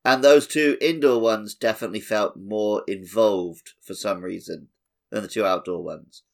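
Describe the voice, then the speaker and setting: Male podcast co-host, fairly low voice, relaxed-format conversational game talk. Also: normal speed, gravelly. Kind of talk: casual game discussion.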